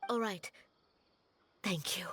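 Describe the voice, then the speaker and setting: A woman's voice: mid-range voice, slow pace, bright, forceful tone, slight background noise. A woman playing a worried, polite mother in a video game, pleading and deferential. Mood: grateful. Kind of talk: scripted video game dialogue.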